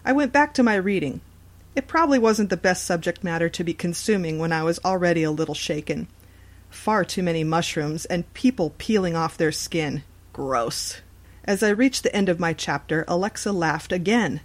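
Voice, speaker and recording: A woman with a slightly low voice narrates a horror story in the first person. Her delivery is scripted storytelling, set at an ordinary pace. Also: normal speed.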